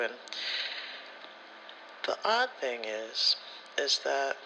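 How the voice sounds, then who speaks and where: Bright-voiced man telling casual ASMR stories. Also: a little high, gravelly, slight background noise, low-quality recording. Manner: profane, slangy, rambling storyteller who acts out quoted dialogue. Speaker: spiritual content creator.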